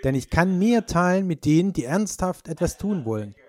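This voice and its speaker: Man, slightly high voice, preaching style, direct address, testimonial delivery. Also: big pitch swings, moderate pace, mellow. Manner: direct address with rhetorical questions. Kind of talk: sermon with personal testimony.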